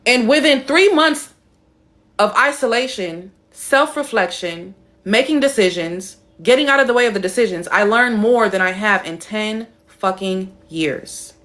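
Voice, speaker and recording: A woman's voice: animated, a little slow, fairly bright tone. Blunt, playful woman live-streaming. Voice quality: playful, shifts her voice between high and deep. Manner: blunt, emphatic and swearing, reading out chat comments.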